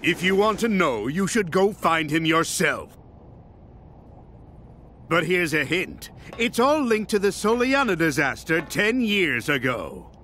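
Pompous mad-scientist villain, theatrically high. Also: male voice, sing-song, slow pace, bright, forceful tone, slight background noise, full character voice. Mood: sly. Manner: pompous, grandiose, menacing. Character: theatrical, gloating mad-scientist villain, portly middle-aged man. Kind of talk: video game cutscene voice acting.

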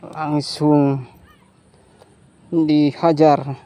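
A man with a fairly high, soft-edged voice takes his time as he narrates his fishing outdoors. He comes from Indonesia and talks casually and playfully, leaning on repeated catchphrases and exclamations. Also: flat delivery, drawn-out words. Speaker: fishing vlogger. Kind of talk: casual commentary while fishing. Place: outdoors on a grassy river estuary bank.

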